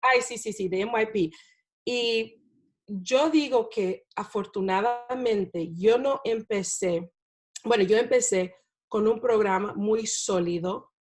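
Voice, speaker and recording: A woman, a non-native Spanish speaker, talking as a guest about teaching in a relaxed interview, with many fillers and tag questions. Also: medium pitch. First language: not Spanish. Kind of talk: casual interview talk.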